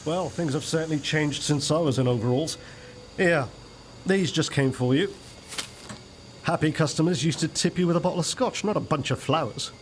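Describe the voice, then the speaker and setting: An actor playing an older, protective father who gently nags, in a naturalistic radio soap. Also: fairly high pitch, moderate pace, a little hiss or hum, close to an everyday voice. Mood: wry, concerned.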